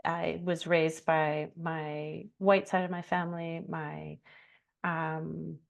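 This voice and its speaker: Woman, forties, Canadian-raised curator; low, gravelly voice, halting and thoughtful over a video call. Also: little pitch movement. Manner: hesitant, many fillers and restarts. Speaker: curator and artist. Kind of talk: reflective conversation, self-introduction.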